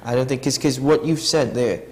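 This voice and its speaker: A man's voice: mid-range voice, steady tone, a little fast, a little mellow. Earnest TV host, man. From Trinidad and Tobago. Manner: earnest, restarting often. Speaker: TV show host. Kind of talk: casual studio chat. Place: TV studio.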